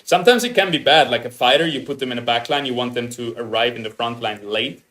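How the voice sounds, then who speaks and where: A young man with an Austrian German accent, chatting excitedly to his viewers as a game streamer. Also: mid-range voice, quick pace. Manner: excitable, upbeat, chatty with restarts. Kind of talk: casual livestream chat, greeting viewers.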